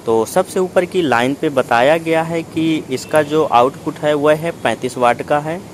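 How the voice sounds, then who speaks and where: A man's voice: medium pitch, a little hiss or hum, rough recording. A man narrating a product unboxing in a methodical, explanatory way, step by step. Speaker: product reviewer.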